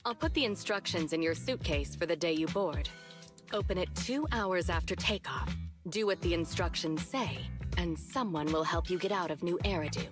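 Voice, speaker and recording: A woman playing a cool, secretive conspirator in a video game, calm and businesslike. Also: lowish voice, moderate pace, lightly acted. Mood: cool, controlled. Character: cool, secretive woman giving covert instructions. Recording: music behind the voice.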